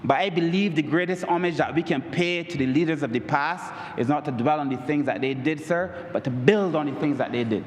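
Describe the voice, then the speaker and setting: Bajan guy making a speech in parliament, kinda high voice, big roomy hall sound, faint hum behind. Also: a little fast, hardly any pauses. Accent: Barbadian. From Barbados. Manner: oratorical, repeats "Mister Speaker, sir" as a refrain; emphatic, rises in pitch on key points. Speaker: member of parliament. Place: large parliament chamber. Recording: echoey chamber with long reverb.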